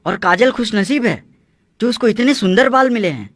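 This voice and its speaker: Performer as protective elder brother, firm, reproachful, mid pitch, dry sound, family story cartoon. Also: lively intonation, moderate pace, no echo, natural voice.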